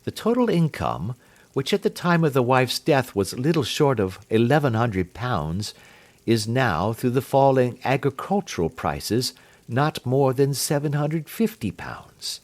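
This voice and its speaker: A man voicing a calm, amused detective who speaks quietly and imperturbably. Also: mid-range voice, unhurried. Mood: explaining.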